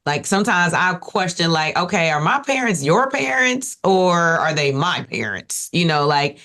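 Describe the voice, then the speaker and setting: A woman with a raspy voice, talking casually and playfully about her husband on a podcast. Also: on the low side, moderate pace. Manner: animated, playful, teasing. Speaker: podcast guest, wife. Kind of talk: casual chat.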